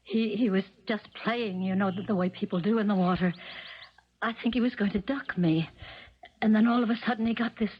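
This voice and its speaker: Actress as earnest, shaken stepmother, medium-pitched, 1970s radio mystery acting, dull old broadcast audio. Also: breathy edge, lightly acted. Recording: muffled vintage radio broadcast.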